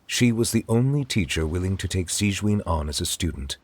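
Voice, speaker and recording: A man playing a formal, dignified chief judge in a video game, measured, earnest and courteous. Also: sits low, animated, darkish tone, no room sound.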